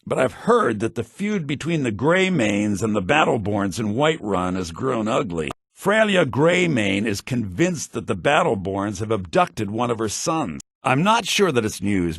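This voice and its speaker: An actor playing a pompous, lecherous and scheming wealthy merchant in a fantasy video game, with a raspy voice. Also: male voice, mid-range voice, a bit bright, no room sound, studio quality, full character voice. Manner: pompous, smug, theatrical.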